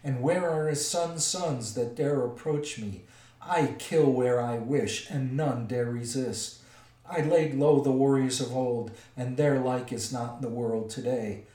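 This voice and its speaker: A man doing a booming, gloating old dragon. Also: dark tone, distant mic, natural voice. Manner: booming, slow, menacing. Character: ancient boastful male dragon, huge, gloating and vain. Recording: echoey room, voice set back from mic.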